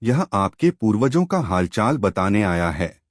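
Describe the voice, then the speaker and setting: A man narrator plays a scheming palace barber from a storybook. He advises the king respectfully and surely, in a low voice with very slow, expressive phrasing. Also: no room sound, studio quality. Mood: assured advising.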